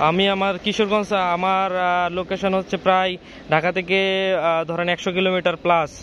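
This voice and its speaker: Male ham radio operator, Bangladeshi accent, fairly high voice, halting delivery, faint outdoor noise behind him. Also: little pitch movement, fairly bright tone. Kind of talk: ham radio contact, mixing chat with call signs. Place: outdoors on a rooftop.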